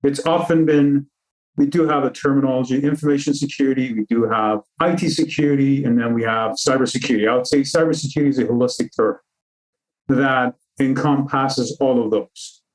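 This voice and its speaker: A man from Iran speaks as a guest on a video call. His voice sits in a middle register, and he explains things in a measured way, laying out terms one after another. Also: medium pitch, fairly even intonation, poor audio. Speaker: cybersecurity consultant guest. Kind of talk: interview answers over video call.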